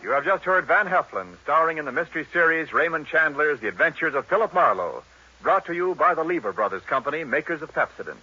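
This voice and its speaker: A man's voice: on the high side, very animated, quick pace, slight background noise. A man with an American accent announcing a 1940s radio sign-off in a formal, emphatic, projected style. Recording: muffled old broadcast, loud music behind.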